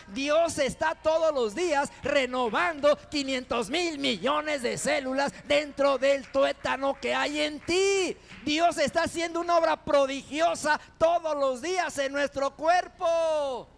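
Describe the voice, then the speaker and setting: A man preaching a sermon as pastor, building to loud fervent proclamations and dropping into quick conversational asides. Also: extremely high pitch, creaky.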